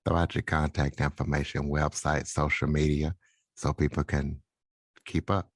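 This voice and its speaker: A man's voice: a bass voice, flat delivery, moderate pace, no room sound. A man hosting a podcast interview, asking questions and giving sign-off plugs.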